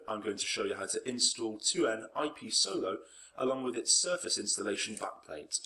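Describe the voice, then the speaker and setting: Low, bright male tutorial narrator. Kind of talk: scripted narration.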